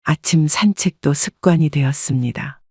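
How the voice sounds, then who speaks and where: A woman calmly narrating a first-person life story. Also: deep voice, moderate pace, breathy edge, no echo, clean studio sound.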